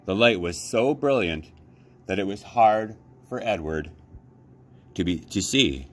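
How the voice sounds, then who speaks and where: A man reading a children's novel aloud as a library storyteller, with measured, dramatic phrasing. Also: fairly low pitch, lively intonation, soft background music. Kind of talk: children's audiobook-style read-aloud. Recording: clear background noise.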